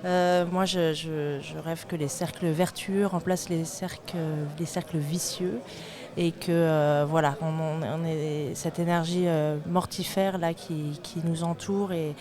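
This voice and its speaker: A woman's voice: deep voice, fairly even intonation, unhurried. A woman speaking reflectively on a panel, hesitant and searching for her words. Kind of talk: reflective unscripted roundtable talk. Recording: crowd chatter behind. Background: crowd chatter.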